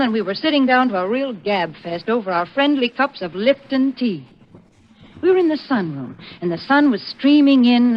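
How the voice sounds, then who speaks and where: A woman's voice: fairly high pitch, lightly acted. A woman playing a cheerful, chatty homemaker with a polished, folksy manner in a 1940s radio advertisement. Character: cheerful, chatty female homemaker pitching tea. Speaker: sponsor spokeswoman. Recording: muffled, slightly noisy 1940s radio recording.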